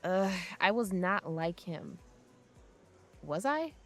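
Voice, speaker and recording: A woman's voice: fairly low pitch, sing-song, slow, soft background music, heavily acted. A woman playing a moody, sarcastic older child who grumbles in an audio drama. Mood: sullen, sarcastic.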